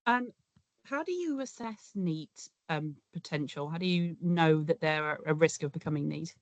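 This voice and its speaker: A hoarse woman asking hesitant questions in a work meeting, her voice sitting fairly low. The call audio is dull and muffled, with a dry, close sound. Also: lively intonation, moderate pace, no echo. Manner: hesitant, clears throat. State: losing her voice.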